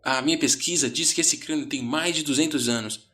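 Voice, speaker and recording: A man's voice: on the high side, brisk, sharp tone, pitched above the performer's own voice. A man voicing a panicked, exasperated sixteen-year-old boy in a horror audio story, stammering and ranting. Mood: frantic.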